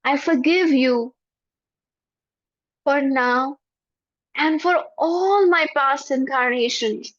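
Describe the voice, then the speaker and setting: A woman leading a guided forgiveness meditation in a soft, prayerful voice with slow repetitions. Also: high-pitched, dry recording. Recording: dull lo-fi, dry.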